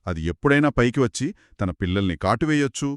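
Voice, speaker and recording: A man narrates a story for children as if chatting with a partner. His medium-pitched voice moves up and down with great animation and expressive exclamations. The recording is dry, with no room sound. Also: quick pace, no room sound. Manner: expressive, reacts with exclamations and short questions. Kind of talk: conversational children's storytelling dialogue.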